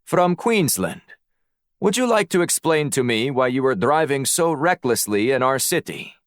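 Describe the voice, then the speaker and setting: A man voicing a stern, formal police officer with a measured, unamused manner in an audiobook. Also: medium pitch, very animated, dry recording, clean studio sound, voice pushed up, lightly acted. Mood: questioning, stern. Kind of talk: audiobook character dialogue.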